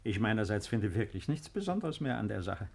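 An actor in his fifties playing a reserved, melancholy baron, quiet and understated, in a 1950s German radio play. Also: on the low side, big pitch swings, a little fast, gravelly, husky, exaggerated voice. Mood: reassuring. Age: 50s.

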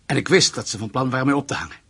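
Very husky, guarded captive man. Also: medium pitch, lively intonation, very fast, a bit bright, exaggerated voice. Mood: grave confession. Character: anxious captive German ex-Nazi, a father, pleading and confessing. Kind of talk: radio play acting.

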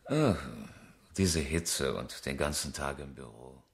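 A man's voice: extremely low pitch, lively intonation, takes it slowly, vocal fry, background noise, exaggerated voice. A man acting as an engineer and amateur rally driver in a 1970s German radio crime drama, flippant and defensive in manner. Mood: weary, evasive.

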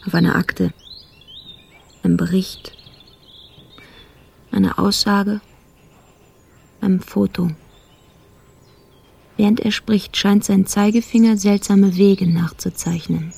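A watchful narrator in a radio play. The voice is dark, husky and heavily creaky, hushed and precise, describing with detachment. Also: female voice, mid-range voice, steady tone, slow pace, long pauses, exaggerated voice. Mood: hushed, observant. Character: hushed, watchful observer narrating a detective's gestures. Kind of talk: radio drama, close observational narration.

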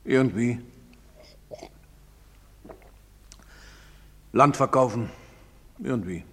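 A man's voice: medium pitch, normal speed, fairly bright tone, breathy edge. An actor playing a stubborn, hard-drinking father, bitter and curt, in a theatrical stage style. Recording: reverberant theatre hall.

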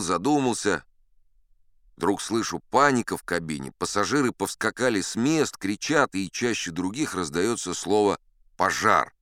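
Man, audiobook narrator, very low, lively pitch, wry chatty storytelling. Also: dry recording. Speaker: audiobook reader. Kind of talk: first-person storytelling narration. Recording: dry close studio.

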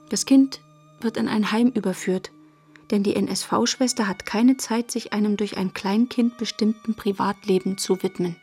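An actress playing a frank, unsentimental young woman recalling her post-war girlhood in a German radio play, with a slightly husky voice. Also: medium pitch, darkish tone.

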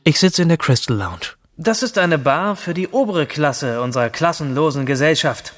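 A man's voice: fairly high pitch, lively intonation, moderate pace, fairly bright tone, no echo, clean studio sound, faint music behind. An actor playing an obsessed, detached young man of a future elite, delivering a brooding, introspective monologue in a German radio drama. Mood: detached, wry.

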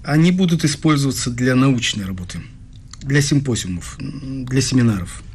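A man's voice: mid-range voice, expressive, moderate pace, slight background noise, lightly acted. An actor playing a polite young male doctoral researcher in a radio play, a guarded interviewer who speaks courteously and a little hesitantly. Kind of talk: dramatic radio dialogue.

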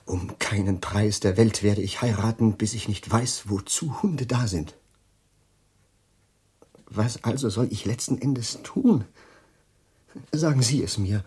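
A lonely, distracted professor in a radio play. His voice is very husky and rather low, with a soft dark tone. He muses and halts as if his thoughts keep slipping away. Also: exaggerated voice. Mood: agitated. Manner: musing, halting, distracted. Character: absent-minded, lonely, overwrought male professor devoted to his dog. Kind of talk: radio drama acting.

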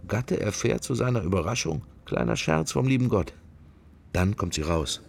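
A man's voice: on the low side, sing-song, normal speed, creaky, heavily acted. An actor playing a wry, sceptical middle-aged man murmuring his fragmentary private thoughts in a radio drama. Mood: sceptical musing. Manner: murmured, fragmentary, associative musing. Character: musing middle-aged man, wry, sceptical, worldly, silently observing church. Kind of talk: radio drama interior monologue.

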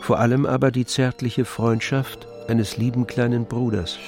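A man giving measured, articulate literary narration for a radio play. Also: medium pitch, steady tone, darkish tone. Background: music.

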